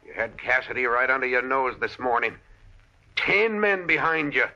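An actor playing a menacing, impatient outlaw boss in a radio western. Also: male voice, medium pitch, moderate pace, vocal fry, sharp tone. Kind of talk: old-time radio western acting. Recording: muffled vintage radio recording.

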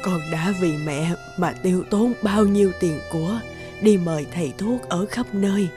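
A woman's voice: on the low side, moderate pace, lightly acted. Woman voicing a weary, frail mother. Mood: weak, resigned. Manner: weary, sorrowful. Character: frail, resigned, loving ailing mother. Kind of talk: cartoon fairy-tale dubbing. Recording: music under the voice.

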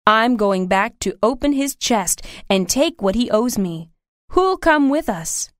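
A man voicing a worried, stubborn middle-aged innkeeper's wife in a high, pleading falsetto. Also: lively intonation, unhurried, a bit bright, no room sound, studio quality. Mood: insistent. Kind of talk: audiobook character voice.

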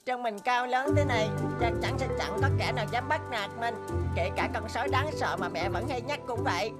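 A cartoon young donkey, voiced by a woman, speaks in a high, boyish voice over prominent background music. The character is naive and stubborn, with a whiny, boastful way of talking. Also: a little fast, natural voice. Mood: amazed, boastful. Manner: boastful, whiny, talks to himself. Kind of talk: animated fairy-tale voice acting.

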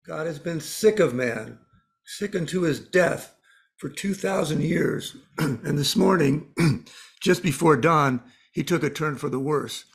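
A man voices a mysterious spirit in an audio drama, with a slightly high male voice. It is world-weary and blunt, handing down cryptic pronouncements as plain matters of fact. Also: slow, vocal fry, slightly rough, exaggerated voice. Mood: scornful, solemn. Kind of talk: dramatised story dialogue.

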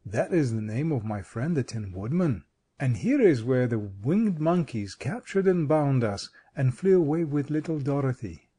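A man's voice: mid-range voice, sing-song, normal speed, dark tone, breathy edge. A man voicing a kindly living scarecrow king in a storybook, reflective, courteous and reassuring in manner. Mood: fond, reminiscing.

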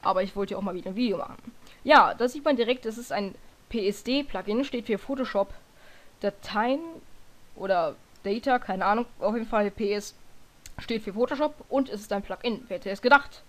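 A hoarse woman talking casually through a screen-recorded software tutorial, often stopping to correct herself and filling pauses with hesitations. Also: mid-range voice. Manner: rambling, self-correcting, lots of fillers.

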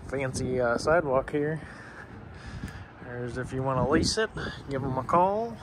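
A man's voice: mid-range voice, a little slow. A man giving a casual, off-the-cuff walkaround narration as a vlogger, talking to viewers in a folksy, conversational way while outdoors. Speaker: vlogger exploring abandoned places. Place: outdoors in a parking lot. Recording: outdoor handheld recording with clear traffic noise. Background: street and vehicle ambience.

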